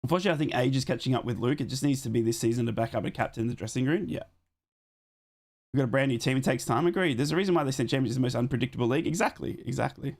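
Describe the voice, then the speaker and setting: A man's voice: mid-range voice, very fast, a little mellow. A man hosting a live football watchalong, chatting casually, reading out live scores and thanking viewers for donations, a bit sick and losing his voice.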